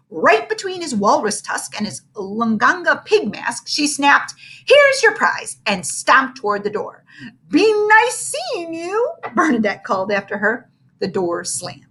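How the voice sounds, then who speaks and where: A woman reads a children's story aloud in a high, bright voice. Her delivery is theatrical and expressive, with big swings in pitch for dramatic narration. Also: rough recording. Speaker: story reader.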